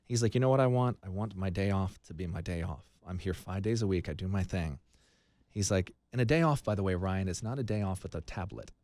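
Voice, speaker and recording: A man in his thirties with an American accent, interviewing a guest conversationally as an expressive radio host. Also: low-pitched, brisk, no echo. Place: small radio studio. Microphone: broadcast boom microphone, headphones.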